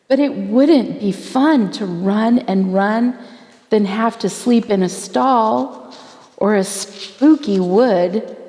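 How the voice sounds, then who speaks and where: A woman's voice: mid-range voice, warm tone, close to an everyday voice. A woman voicing a worried young girl from a storybook, musing fretfully to herself. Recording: long hall-like reverb.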